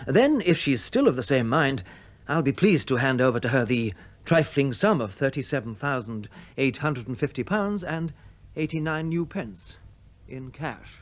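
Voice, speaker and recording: A man's voice: medium pitch, expressive, moderate pace, natural voice. An actor plays an officious money man in a British radio play. He speaks formally and precisely, with strongly varied intonation and a dry, pointed edge. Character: formal, sardonic man handling clients' money. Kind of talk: British radio drama acting.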